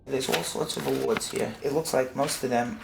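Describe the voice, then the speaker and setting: Older mom talking kinda rambly, far from the mic, echoey room with a bit of hiss. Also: female voice, rumbling low, steady tone, moderate pace, gravelly. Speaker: mother interviewed by her son. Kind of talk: candid documentary interview. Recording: echoey room, distant mic, faint noise.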